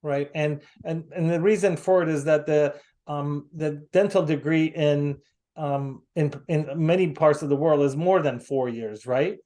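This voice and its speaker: A man from the United States hosting a casual video call, talking haltingly with many fillers and restarts. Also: fairly high pitch, mellow. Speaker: host.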